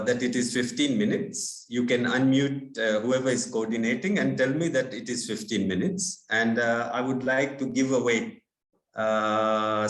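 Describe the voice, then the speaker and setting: A man from India giving an online talk as a guest speaker, halting with many fillers. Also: medium pitch. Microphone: video call. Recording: echoey room.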